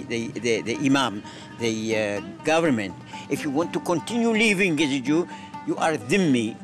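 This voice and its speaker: Guy stumbling over words, pitch jumps around, music behind. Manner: searching for words, repetitive. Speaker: interviewee.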